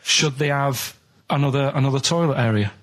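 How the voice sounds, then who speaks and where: A man's voice: mid-range voice, brisk, a bit bright. A man on a radio show, rambling casually in an earnest, matter-of-fact way.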